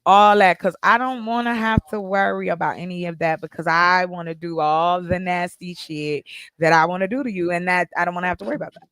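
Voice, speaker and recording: A woman's voice: lowish voice, steady tone, a little fast, fairly bright tone, clean tone, no echo. A woman in her forties hosting a livestream group chat, blunt and playful, teasing the men. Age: 40s. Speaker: host.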